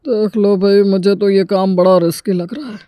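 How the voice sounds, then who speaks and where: A man voicing a cautious, worried elderly father in a Hindi animated story, wary and grumbling. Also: sits high, moderate pace, clear, resonant tone, dry recording, lightly acted.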